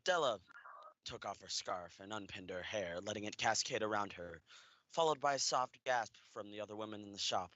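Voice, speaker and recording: Young male narrator, scripted storytelling, lowish pitch, forceful bright tone, very dry recording. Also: normal speed, dry recording, highs cut off. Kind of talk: scripted radio play narration.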